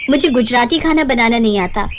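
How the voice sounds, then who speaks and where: A woman voicing a polite young newlywed in an animated storybook tale, respectful, earnest and eager. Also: fairly high pitch, fairly quick, background noise, close to an everyday voice. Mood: eager, hopeful.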